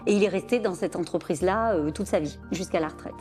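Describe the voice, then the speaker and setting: A woman's voice: lowish voice, quick pace, darkish tone, faint music behind. A woman in a documentary interview, recalling her family's history in a conversational way. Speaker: interviewee, child of immigrants.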